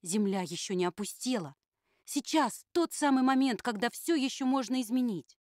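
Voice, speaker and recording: A solemn puppet survivor , voiced by a woman, giving admonishing warnings in a level, steady voice. The sound is dry and close, with no room echo. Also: fairly high pitch, moderate pace, natural voice. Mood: urgent. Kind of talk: puppet theatre performance.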